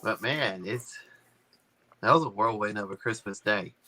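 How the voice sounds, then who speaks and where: A man's voice: lowish voice, a little slow, pushed and bright. A man co-hosting a sports podcast, talking casually and a bit hesitantly, with fillers. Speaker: podcast co-host.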